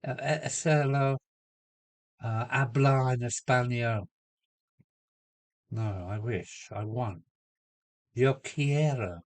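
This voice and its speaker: A man with a cold chatting casually on a home live stream from his loft, answering viewers and trying out halting Spanish phrases. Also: mid-range voice. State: has a cold. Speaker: model railway live streamer.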